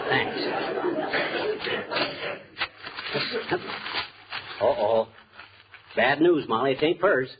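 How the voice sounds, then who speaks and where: Man to play folksy curious husband, vintage radio comedy: wheedling, stammering, wildly varied pitch, muffled old broadcast sound. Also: animated, unhurried, over a noisy crowd, close to an everyday voice. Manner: folksy ungrammatical speech, stammering excuses. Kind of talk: 1940s radio comedy acting. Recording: muffled, very noisy 1940s radio recording.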